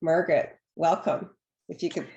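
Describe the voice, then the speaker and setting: Woman host on a video call, slightly low voice, hesitant delivery, roomy echo. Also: fairly even intonation, moderate pace, warm tone, lo-fi sound. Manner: hesitant, many fillers and restarts.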